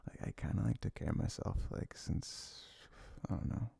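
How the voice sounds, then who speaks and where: Gravelly, low-voiced young man in intimate ASMR. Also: no room sound. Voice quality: low, gravelly, breathy, often dropping near a whisper. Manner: rambling, halting, frequent "like", soft chuckles. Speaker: ASMR audio creator playing a comforting boyfriend. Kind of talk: intimate ASMR, casual unscripted rambling.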